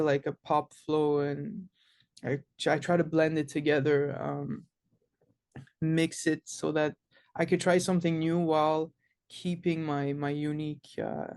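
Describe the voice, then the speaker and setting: A man's voice: fairly high pitch, gravelly, a little mellow. A young man from Canada, a musician guest in a casual interview, talking hesitantly and searching for words.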